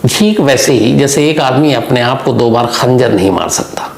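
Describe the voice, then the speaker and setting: Male true-crime host, gravelly and explanatory, telling it unscripted in a reverberant room. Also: mid-range voice, expressive, normal speed. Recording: echoey room, faint background noise.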